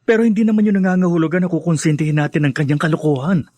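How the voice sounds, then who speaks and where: Man voicing an angry young son in a radio drama, kinda high and breathy, dry close sound. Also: steady tone, very fast, darkish tone, no room sound, lightly acted. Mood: resentful. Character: resentful grown son, young man, hotheaded. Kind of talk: Filipino radio drama acting.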